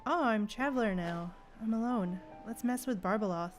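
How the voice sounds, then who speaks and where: A woman's voice: medium pitch, normal speed, soft background music. A woman livestreaming a game with casual, playful commentary, chatting to viewers and reacting aloud to what happens.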